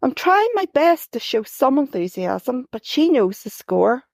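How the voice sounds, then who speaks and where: A woman reads her own first-person short story in a measured, gently expressive way. Her voice sits a little high and has a gravelly edge, and the recording is close and dry. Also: no echo. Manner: measured, gently expressive reading, lifting her voice for quoted dialogue.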